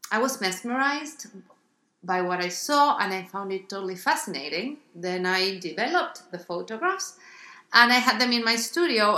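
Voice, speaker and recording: A woman's voice: mid-range voice, sharp tone, echoey room. A middle-aged woman from Argentina with an Argentine accent, describing her art in a radio interview, thoughtful and hesitant with many ums and restarts. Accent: Argentine Spanish. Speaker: artist guest.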